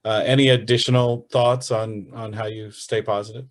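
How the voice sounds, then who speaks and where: A man's voice: on the low side, flat delivery, moderate pace. A man hosting a virtual interview, thanking his guests with frequent "uh" fillers and repeated words. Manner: warm thanks, hesitant fillers. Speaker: radio host moderating a virtual interview. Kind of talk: hosting a live online discussion.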